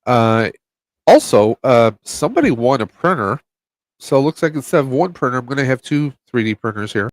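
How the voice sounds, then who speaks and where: A man with a blocked nose, guesting on a podcast from his home. He talks in a halting, casual way, stopping and restarting often. His voice sits at an average pitch, with a dry, close sound. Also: big pitch swings, no echo. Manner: halting, many fillers and restarts. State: stuffed up. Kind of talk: casual chat.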